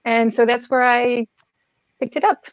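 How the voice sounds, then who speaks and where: French woman with a high voice. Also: fairly even intonation, a little slow, no room sound, poor audio. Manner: hesitant, many fillers and restarts, laughs often. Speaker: guest. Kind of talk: casual conversation.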